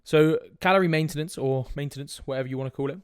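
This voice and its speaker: Young male podcast host, sniffly with a cold, direct and casual, slightly high voice, dry close mic. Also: quick pace, clean tone, dry recording. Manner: direct, casual, swears, frequent "right?" asides. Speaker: fitness coach podcast host. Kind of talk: casual solo podcast talk.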